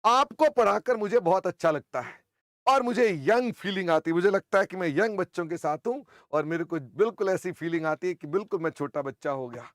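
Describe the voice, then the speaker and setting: An older man teaching accounting in an online class, hoarse, chatty and teasing, often clearing his throat. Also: high-pitched, lively intonation, fairly quick, a bit bright, no room sound, studio quality.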